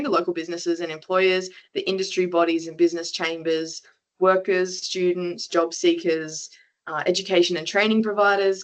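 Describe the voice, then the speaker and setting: Woman, webinar moderator, upbeat, slightly low voice, video call. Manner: upbeat, reading out audience answers and questions.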